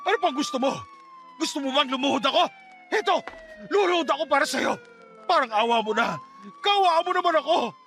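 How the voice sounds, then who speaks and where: A man's voice: very high voice, animated, brisk, long pauses, a bit bright, slight background noise, full character voice. A man playing a wicked, manipulative stepfather with a wheedling, menacing, melodramatic delivery in a radio drama. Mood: begging. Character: wicked, manipulative stepfather, begging then gloating. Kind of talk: Tagalog radio drama acting. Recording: music bed under the voice.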